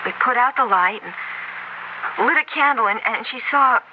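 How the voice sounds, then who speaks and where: An actress playing an anxious, insistent young college student in a 1970s radio drama, speaking in a halting, earnest way. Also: female voice, on the high side, lightly acted. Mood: uneasy. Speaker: actor. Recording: muffled, noisy old radio broadcast.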